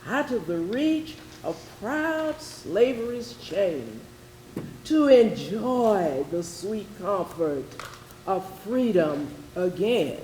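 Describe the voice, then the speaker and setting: A woman's voice: medium pitch, animated, very slow, warm tone. A woman playing an impassioned orator in a readers theatre, reciting anti-slavery verse in a declamatory, pleading manner. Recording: roomy echoing stage, faint noise.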